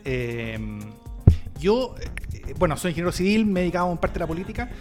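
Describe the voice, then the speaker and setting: A man with a Chilean accent talking casually as an interview guest, halting and repeating words as he goes. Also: high-pitched, sing-song. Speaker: interview guest, political analyst. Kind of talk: casual conversational self-introduction. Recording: clear music in the background.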